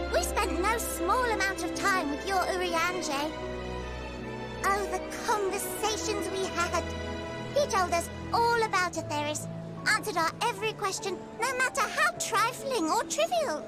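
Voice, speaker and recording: Squeaky, very high excitable little creature. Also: female voice, measured pace. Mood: excited, chatty. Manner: chirpy, exclaiming, eager. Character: small, excitable, chatty moon creature, eager scholar. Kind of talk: scripted video game acting. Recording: loud music behind.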